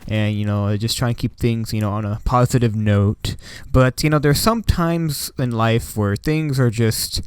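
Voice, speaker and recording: A man's voice: mid-range voice, moderate pace, smooth, dry recording, clean studio sound. A young man from Washington State, the channel host, telling a story in a casual, rambling way with lots of "like" and "you know". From Washington State, United States.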